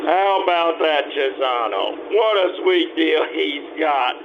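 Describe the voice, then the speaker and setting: Guy doing a slick little crook from an old radio play, kinda high voice, talks fast n slangy, muffled sound. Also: animated, very noisy. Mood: sly. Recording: muffled lo-fi recording.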